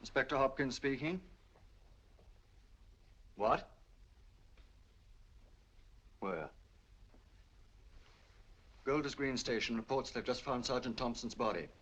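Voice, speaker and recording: An actor playing a brisk, matter-of-fact police inspector in a 1940s film. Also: male voice, mid-range voice, a bit bright, slightly rough. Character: brisk male police inspector, middle-aged. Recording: dull lo-fi 1940s film soundtrack.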